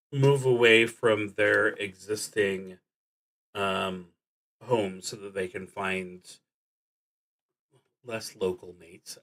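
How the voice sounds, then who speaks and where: Slow, deliberate man thinking aloud. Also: on the low side, bright, forceful tone. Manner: halting, deliberate, trailing off. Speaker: solo tabletop roleplaying streamer. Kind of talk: thinking aloud while playing a solo card and dice game.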